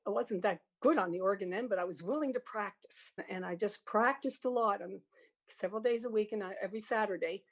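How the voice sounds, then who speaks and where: A woman's voice: medium pitch, brisk. An older woman with a Canadian accent, reminiscing casually and animatedly, with trailing asides. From Prince Edward Island, Canada. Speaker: guest, music educator and organist.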